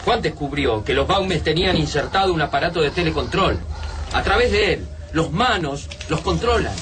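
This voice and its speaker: A tense Argentine survivor in a radio play. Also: male voice, on the high side, animated, moderate pace, a bit bright, slight background noise. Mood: reflective. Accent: Argentine Spanish. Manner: urgent, analytical. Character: tense male survivor fighting monsters. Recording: radio drama with music, a bit distant.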